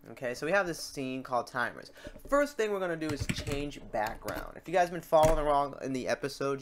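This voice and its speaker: A man hosting a casual, step-by-step software tutorial, talking informally to his viewers. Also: medium pitch. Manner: informal, addressing viewers as guys. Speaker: tutorial host. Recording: clear background noise, keyboard typing, clicks. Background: keyboard typing and mouse clicks.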